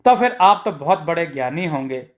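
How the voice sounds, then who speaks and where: A man's voice: a little high, animated, moderate pace, close to an everyday voice. A man voicing a credulous village housewife in a moral story, respectful, eager and wide-eyed. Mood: curious, respectful.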